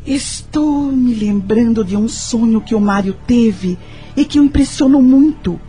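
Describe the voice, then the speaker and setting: An actress playing a sympathetic, curious female friend in a radio drama, gentle and thoughtful. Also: fairly high pitch, a little slow, soft background music, natural voice. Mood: curious, impressed. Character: Lúcia, sympathetic, curious woman friend and confidante.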